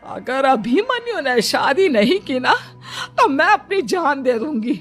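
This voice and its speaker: A woman acts out an overbearing older mother-in-law from a family moral story. She is high-pitched, insistent and scolding, delivering everything dramatically with music behind her. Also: very animated, measured pace. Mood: threatening, scheming. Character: domineering older mother-in-law demanding a grandchild.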